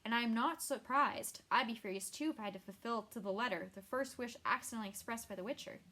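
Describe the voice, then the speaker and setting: A woman voicing a learned older man who explains things in a measured way. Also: fairly high pitch, bright, forceful tone. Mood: explaining.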